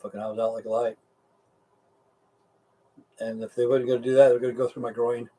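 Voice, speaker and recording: A man's voice: medium pitch, little pitch movement, fairly quick, dark tone, recorded from a distance, low-quality recording. A middle-aged or older American man chatting casually and rambling to live stream viewers, with a blunt, folksy, profane way of talking.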